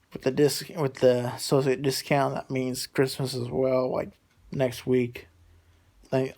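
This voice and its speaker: A man's voice: mid-range voice, darkish tone. A man in his late twenties chatting casually in a rambling way, repeating himself and drifting between thoughts as he talks to his subscribers. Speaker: vlogger talking to subscribers.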